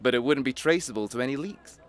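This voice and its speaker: A man's voice: mid-range voice, quick pace, lightly acted. An actor playing a cynical, greedy rich businessman in an audio play, mocking and slickly persuasive. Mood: slick persuasion. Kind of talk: scripted audio play acting.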